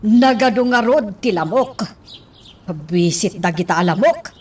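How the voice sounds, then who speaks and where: A woman's voice: medium pitch, animated, a little slow, lightly acted. A woman acting a fretful, complaining middle-aged mother in an Ilocano radio drama, with a whiny, exasperated delivery. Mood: irritated. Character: fretful, complaining mother in hiding, used to comfort. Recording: distant microphone with faint background noise.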